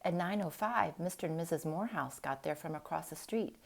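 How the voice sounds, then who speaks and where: A woman reading a storybook aloud in an expressive style, with deliberate, dramatic phrasing. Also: on the low side, creaky. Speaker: storybook reader.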